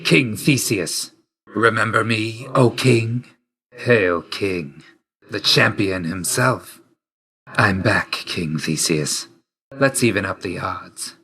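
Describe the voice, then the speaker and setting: A man's voice: lowish voice, slow pace, bright, forceful tone, full character voice. A man voicing a grim, reserved god of death in a video game, speaking tersely and solemnly with dry loyalty. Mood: formal, stern. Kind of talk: scripted video game voice lines.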